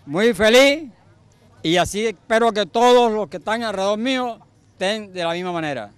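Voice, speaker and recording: Older venezuelan guy, smooth clear voice, a bit high, chatting outside on the street. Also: animated, moderate pace, no echo. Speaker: interviewee, community volunteer. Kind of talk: street interview. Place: outdoors on a residential street. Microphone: handheld mic.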